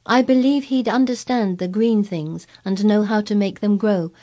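A woman's voice: medium pitch, warm tone, pitched above the performer's own voice, natural voice. A woman voicing a blunt, sour-tempered young girl in a storybook reading, frank and earnest.

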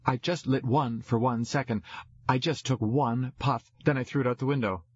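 A man's voice: mid-range voice, steady tone, moderate pace, breathy edge, pitched above the performer's own voice, natural voice. A man voicing a stubborn, eager young girl in an audiobook, with plain, childlike casual phrasing. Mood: defensive, innocent. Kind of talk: audiobook character dialogue. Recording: dull, roomy, echoey recording.

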